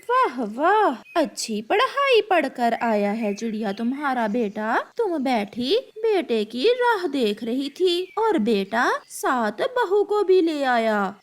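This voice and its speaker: A woman's voice: high-pitched, very animated, slow pace, hardly any pauses, heavily acted. A woman voicing a spiteful, sarcastic female crow neighbour in a cartoon story. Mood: mocking. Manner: mocking, sarcastic.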